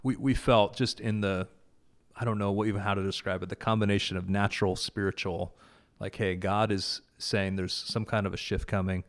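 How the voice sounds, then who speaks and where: A man's voice: on the low side, level tone, moderate pace. A man casually and earnestly recounting a prayer gathering, with many fillers and restarts. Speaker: guest, prayer event organizer. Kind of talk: casual conversation about faith.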